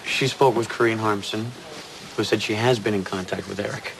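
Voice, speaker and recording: An actor playing a blunt, streetwise police detective in a crime drama, talking in casual short phrases. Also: male voice, on the low side, fairly even intonation, brisk, vocal fry, background noise.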